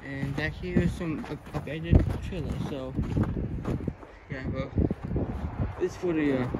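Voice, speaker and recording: Very noisy outdoor recording of a man with a slightly high voice, speaking into a handheld camera as he explores. His commentary is casual and rambling, with halting stretches and repeated words. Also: fairly even intonation. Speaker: vlogger exploring abandoned retail stores. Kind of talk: casual walk-around vlog commentary. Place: outdoors in snowy empty retail lot. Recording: loud outdoor ambient noise.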